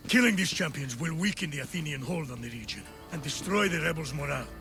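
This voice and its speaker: A man's voice: on the high side, animated, fast. A man acting as an older Spartan veteran and father in a video game, earnest and remorseful. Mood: earnest, surprised. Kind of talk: cinematic video game dialogue. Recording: music behind the voice. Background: game soundtrack music.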